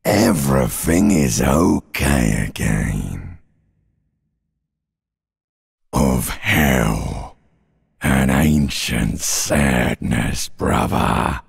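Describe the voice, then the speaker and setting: Abyssally deep, gravelly primeval inner voice. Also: male voice, animated, dry recording, clean studio sound, full character voice. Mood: slow, ominous. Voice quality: extremely gravelly, raspy growl. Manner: slow, drawn-out, taunting delivery. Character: ancient reptilian brain, menacing mocking inner voice. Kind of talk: dramatic video game voice acting.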